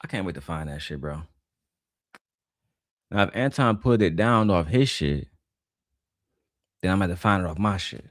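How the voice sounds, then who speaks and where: A woman voicing a laid-back male friend who gossips and agrees along in an easy, low drawl. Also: expressive, normal speed, pauses often, dry recording, clean studio sound. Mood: gossiping.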